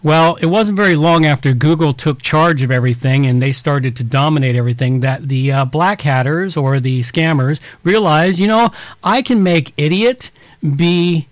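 A man's voice: on the high side, moderate pace, dry recording. A man hosting a tech podcast, explaining things casually in a rambling way with many restarts and everyday examples. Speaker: podcast host.

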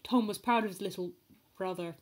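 A woman's voice: mid-range voice, fairly quick, slight background noise. A woman giving a comedic, mock-dramatic reading of fanfiction, playful and giggly, breaking off to comment. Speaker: fanfiction reader.

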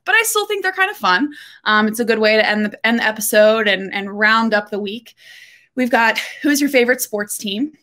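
A young woman from Texas chats straight into her webcam from a room at home. Her voice is bright and forward, and she talks candidly with quick joking asides, like a golf podcast co-host running through the week's news. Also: medium pitch. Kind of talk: casual solo talk to camera.

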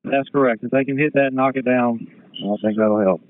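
Fire commander, male, medium pitch, measured and procedural, through a thin two-way radio channel. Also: fairly even intonation. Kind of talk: emergency radio traffic. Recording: narrow tinny radio channel with noise and clicks.

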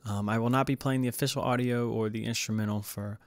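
A man hosting a casual music production tutorial, explaining in a hesitant, halting way. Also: fairly low pitch, steady tone, no room sound. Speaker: tutorial host.

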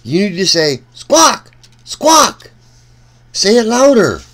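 A man reading a storybook aloud for children in an animated, deliberate way, speaking directly to young listeners. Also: high-pitched.

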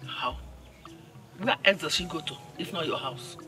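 A woman's voice: low voice, normal speed, bright, forceful tone, faint music behind. An actress playing an irritable, sarcastic older village mother with a Nigerian accent, scolding in rhetorical questions in a film drama. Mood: exasperated. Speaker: actor in a film. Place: outdoor yard with trees.

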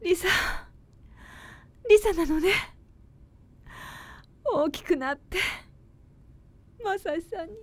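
An actress playing a frail, tender and remorseful dying mother in a radio drama, speaking haltingly. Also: extremely high pitch, darkish tone. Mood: tearful, remorseful. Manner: slow, halting, tearful delivery. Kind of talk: Japanese radio drama acting.

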